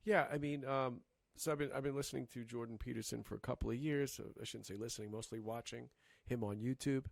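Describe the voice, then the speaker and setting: A man co-hosting a casual podcast chat, talking in halting bursts with many restarts and fillers. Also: mid-range voice, steady tone, gravelly, no room sound. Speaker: podcast co-host.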